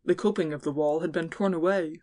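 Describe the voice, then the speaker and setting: A woman's voice: low voice, steady tone, a little fast, dark tone. A woman giving scripted narration of classic fiction as an audiobook narrator.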